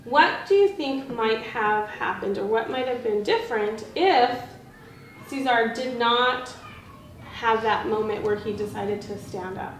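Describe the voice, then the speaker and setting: A woman with a fairly high voice leads a classroom discussion as a trainee teacher, guiding it with encouraging questions. She is picked up from a distance in a roomy space, with clear noise behind her. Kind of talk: leading an interactive read-aloud discussion. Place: indoor room with background noise.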